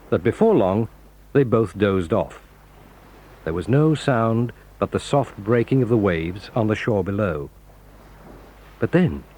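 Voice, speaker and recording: A man narrates a children's story in a calm, expressive way. His tone is mellow and his delivery rises and falls in a lively way, with faint music underneath. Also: medium pitch, husky.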